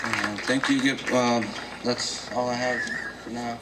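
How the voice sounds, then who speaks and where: A man giving a short address at a gathering in a slow, flat delivery. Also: medium pitch, far from the mic, very noisy. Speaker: speaker at a gathering.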